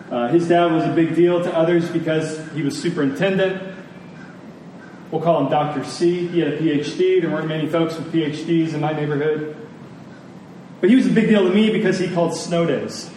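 A man with a fairly high voice delivers an earnest sermon, leaning on key phrases and pausing deliberately. He is recorded at a distance in a very reverberant room, with faint noise underneath. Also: fairly even intonation, a little mellow. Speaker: preacher. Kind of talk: sermon to a congregation.

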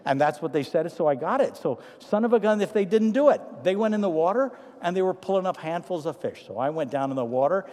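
A man with a Trinidadian accent, a pastor preaching a sermon with humorous stories that give way to quiet, earnest appeals. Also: high-pitched, quick pace, few pauses. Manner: animated anecdotes, then quiet earnest appeals. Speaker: pastor to seniors. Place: church sanctuary. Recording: long hall reverb.

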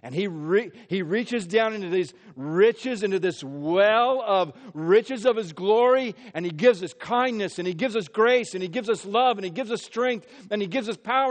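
A man's voice: sits high, long reverb tail. A man from the Midwest, preaching an earnest sermon as pastor and often repeating words as he builds his phrases. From American Midwest.

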